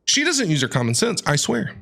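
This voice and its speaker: A man's voice: sits high, huge pitch swings, a little fast, creaky, pushed and bright, slight background noise. A man doing casual game reaction commentary, exclamatory and playfully exasperated with the characters as he streams.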